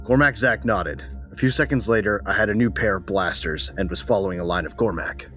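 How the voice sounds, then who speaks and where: Man acting a gritty soldier narrator, low voice, protective, battle-hungry, sci-fi audio drama, music underneath. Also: fairly quick, a bit bright, lightly acted. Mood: grim, dry.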